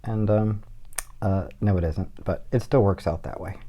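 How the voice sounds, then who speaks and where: A man's voice: fairly low pitch, measured pace, slightly rough, dull audio. A man giving a casual drawing tutorial as a guest artist, rambling with jokey asides as he works.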